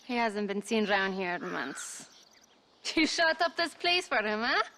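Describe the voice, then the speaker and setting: A tough frontier woman for a western game. Her bright, hard-edged voice drawls slowly with mocking contempt, over faint noise. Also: medium pitch, big pitch swings. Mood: scornful, mocking. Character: scornful, tough woman in a frontier border town. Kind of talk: video game cutscene acting.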